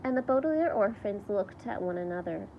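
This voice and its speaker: A woman reading a children's book aloud in an expressive storytelling style. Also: medium pitch, brisk, creaky, lo-fi sound, slight background noise. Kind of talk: read-aloud storybook narration with character voices.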